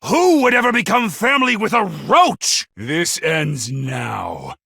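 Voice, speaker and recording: An actor voicing a crazed, contemptuous, murderous villain in a theatrical anime game style, with a raspy, gravelly voice and a sneering, dramatic delivery. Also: male voice, very animated, takes it slowly, pushed and bright, no echo, studio quality, heavily acted. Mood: mocking, menacing. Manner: sneering, unhinged, dramatic swings from low menace to screams.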